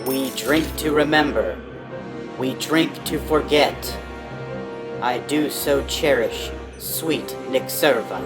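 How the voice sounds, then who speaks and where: A man puts on a mythical satyr warrior from a fantasy tale, grandiose and fatalistic. He declaims very slowly and deliberately in a mid-range pitch. Clear music plays behind him. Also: close to an everyday voice. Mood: wistful, bold. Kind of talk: storybook character voice.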